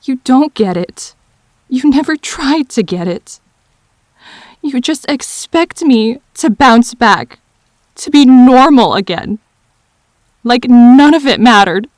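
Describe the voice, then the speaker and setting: Woman acting a slow-spoken, wounded, grieving girlfriend. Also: fairly high pitch, expressive, dry recording. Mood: wounded, defensive. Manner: halting, wounded, deliberate phrasing with pauses. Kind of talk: emotional audio drama acting.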